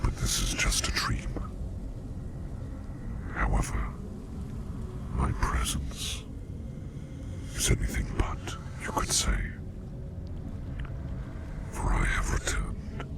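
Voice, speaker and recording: A man playing a mysterious, formal plague doctor in an ASMR roleplay, whispering in slow, archaic phrases with a breathy, gravelly voice. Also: extremely low pitch, exaggerated voice. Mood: calm, soothing. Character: mysterious plague doctor hypnotist visiting a dream, formal, protective.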